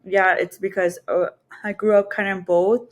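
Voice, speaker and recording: A woman speaks with a Mexican Spanish accent in a medium-pitched voice. She is a podcast guest sharing personal stories in an informal, candid way, working out her words as she talks. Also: level tone, moderate pace, highs cut off. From Texas and Mexico border region. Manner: candid, informal, thinking aloud. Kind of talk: casual personal storytelling.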